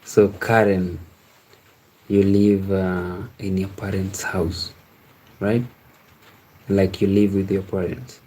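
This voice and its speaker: Low, slow-talking man, mellow. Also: flat delivery, breathy edge, low-quality recording. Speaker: live stream host. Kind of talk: casual chat with live viewers, reading out and answering comments.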